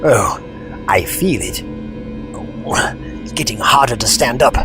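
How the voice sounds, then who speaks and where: A man playing a frail, kindly old gentleman, weary and courteous, in an audio drama. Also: mid-range voice, lively intonation, slow, over music. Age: older. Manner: doddery, courteous, sighing and groaning. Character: frail, weary, kindly old Doctor leaning on a walking stick.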